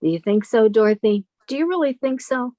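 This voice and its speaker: A woman's voice: fairly high pitch, lively intonation, fairly quick, warm tone, no echo, studio quality, close to an everyday voice. A woman playing a sheltered, timid, wistful woman of thirty-five in an old-time radio drama, plaintive and questioning. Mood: hopeful, unsure.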